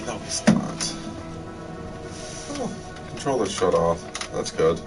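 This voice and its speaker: A man's voice: sits low, lively intonation, slow. A man with an American accent chatting casually on a gaming livestream, rambling, joking and swearing in a sardonic way. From Michigan, United States. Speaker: game livestreamer. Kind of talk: casual rambling banter between friends. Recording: distant mic with music playing.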